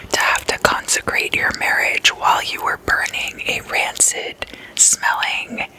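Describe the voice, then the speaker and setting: Slow whispering ASMR host. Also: low-quality recording. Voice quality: unvoiced breathy whisper. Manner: slow, intimate, repeats words softly. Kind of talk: ASMR, whispered casual storytelling. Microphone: close microphone.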